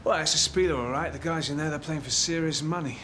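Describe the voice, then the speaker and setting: An actor playing a chatty, eager British police officer in a TV drama. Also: male voice, fairly high pitch, fast talker, a bit bright, faint music behind, lightly acted. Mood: reporting.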